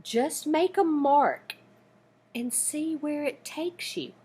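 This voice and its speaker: A woman voicing a patient, gently wry female art teacher in a storybook with drawn-out delivery. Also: high voice. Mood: gently encouraging.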